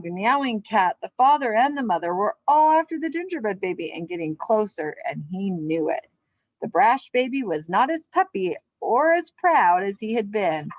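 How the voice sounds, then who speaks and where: A woman with a mid-pitched voice talks to children as their teacher. She reads and explains in simple, encouraging terms, in a dry recording with little room sound. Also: no echo, dull audio. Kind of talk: storybook read-aloud and instruction for children.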